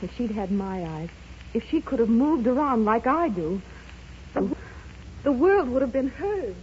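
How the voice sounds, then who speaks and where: An actress playing a wistful, grieving, soft-hearted woman in a 1930s radio drama, speaking haltingly and sorrowfully. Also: a little high, sing-song. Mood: wistful, pitying. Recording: dull, noisy 1930s radio recording.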